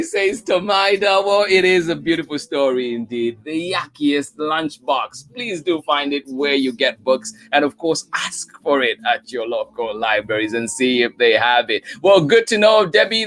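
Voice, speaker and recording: Man, slightly high voice, children's story host, cheerful, greets viewers by name, lots of thank-yous. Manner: warm, upbeat, greets viewers by name and thanks them repeatedly. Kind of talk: live-stream chat with viewers after a read-aloud. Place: Oakland.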